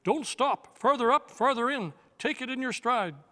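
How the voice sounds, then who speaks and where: An older man plays an eager male unicorn in a storybook reading, calling out high and loud. The cries ring out with a long reverberant tail. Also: animated, moderate pace, full character voice. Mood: urgent, rousing. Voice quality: loud ringing calls. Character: excited male unicorn crying out.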